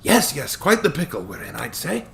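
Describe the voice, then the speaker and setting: Man doing a cheerful old Scottish lawyer, chatty, lilting, higher voice, faint room hum. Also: big pitch swings, moderate pace, vocal fry, bright, forceful tone, full character voice.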